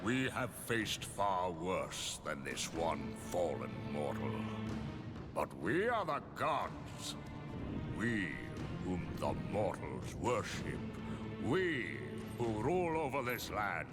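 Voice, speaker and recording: A proud god king in a game, slow grand speech, huge music behind him. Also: low voice, big pitch swings, full character voice. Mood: imperious, rallying. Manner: grandiose, oratorical, imperious. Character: proud, commanding king of the gods. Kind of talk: video game cutscene acting. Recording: game audio under very loud music.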